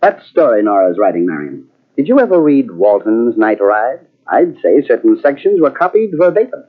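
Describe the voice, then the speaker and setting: Scheming, menacing husband on tinny radio. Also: male voice, mid-range voice, very animated. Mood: accusing. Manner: smooth, insinuating, quick to turn threatening. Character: scheming, menacing husband and would-be playwright, out for money. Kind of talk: old-time radio mystery acting. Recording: tinny, band-limited old radio cassette transfer.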